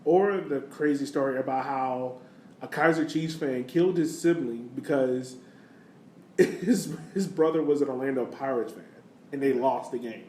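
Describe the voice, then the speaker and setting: A man's voice: mid-range voice, a little slow, darkish tone. A man co-hosting a podcast, chatting casually about sports. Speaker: podcast host. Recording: roomy echo, background noise.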